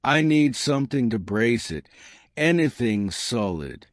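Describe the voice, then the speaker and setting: A man's voice: mid-range voice, big pitch swings, a little slow, no echo, studio quality. Man narrator voicing a grave, commanding army colonel. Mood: urgent commanding. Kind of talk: audiobook character dialogue.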